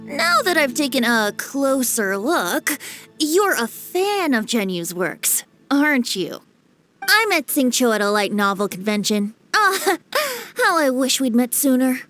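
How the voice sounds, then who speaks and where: A woman's voice: sits high, very animated, measured pace, fairly bright tone, soft background music, full character voice. A woman voicing a playful young male bard in an anime game, with a light boyish voice and flowery theatrical delivery. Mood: friendly, playful. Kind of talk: anime game dub acting.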